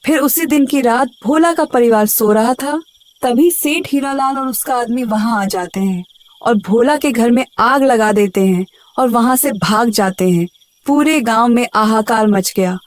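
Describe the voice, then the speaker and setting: A woman's voice: fairly high pitch, darkish tone. A woman narrating a scripted moral story for a cartoon.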